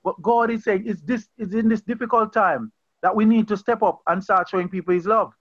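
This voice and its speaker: Earnest lay preacher, man, high pitch, conversational and repetitive, muffled call audio. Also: steady tone, fairly quick, fairly bright tone, dry recording. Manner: earnest, conversational, repeats phrases and restarts. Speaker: pastor leading an online Bible study. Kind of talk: informal sermon on a video call. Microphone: video call.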